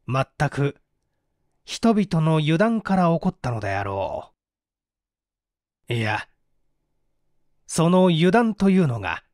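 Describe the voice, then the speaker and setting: A storyteller reads a literary mystery at an unhurried pace. The delivery moves widely in pitch, and the sound is close and dry. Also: a little mellow, no room sound. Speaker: audiobook reader. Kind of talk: scripted literary narration of a mystery novel.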